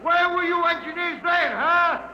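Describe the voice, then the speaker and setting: An actor playing an angry veteran union leader, indignant and accusing, in 1950s radio drama style. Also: male voice, very high voice, a little slow, bright, forceful tone, exaggerated voice. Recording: noisy old radio recording.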